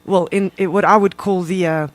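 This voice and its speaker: A man's voice: high-pitched, fairly even intonation, normal speed. A man hosting a live radio interview, eager and prone to stumbling restarts. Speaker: radio host.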